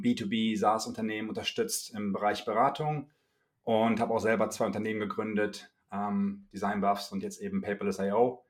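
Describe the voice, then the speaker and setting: A man with a fairly low voice introduces himself on a podcast, hesitating and filling pauses with ums. He is a startup marketer from Germany. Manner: hesitant, many filler sounds. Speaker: startup marketer and co-founder, guest.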